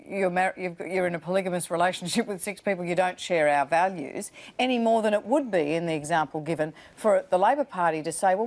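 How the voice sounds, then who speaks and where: A woman's voice: medium pitch, a little mellow. A woman hosting a TV panel and asking probing, conversational questions. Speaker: TV panel host. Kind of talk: live TV panel discussion.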